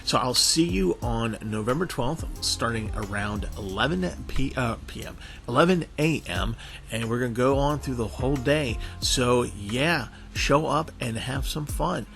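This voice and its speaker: A man's voice: medium pitch, lively intonation, measured pace, vocal fry, fairly bright tone. Guy doing a chatty promo vlog, kinda rambly, trips on words, bit of music behind him. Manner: informal, stumbling with repeated words. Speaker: online convention panelist and show co-host.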